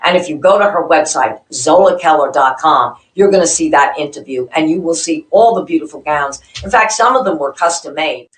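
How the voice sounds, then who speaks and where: Low-voiced woman host, echoey and distant. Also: expressive, brisk, hardly any pauses. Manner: gushing, enthusiastic praise. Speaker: show host. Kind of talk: recap narration introducing guests.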